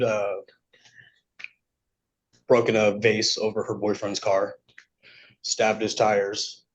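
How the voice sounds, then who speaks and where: Male, lowish pitch, halting and polite, courtroom testimony, muffled lo-fi recording. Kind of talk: unscripted testimony to a judge. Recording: dull, lo-fi courtroom audio.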